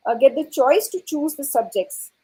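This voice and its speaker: A woman explaining her ideas as a panelist in a discussion, with pauses mid-thought. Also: high-pitched, animated, warm tone, poor audio. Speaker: panelist, educator.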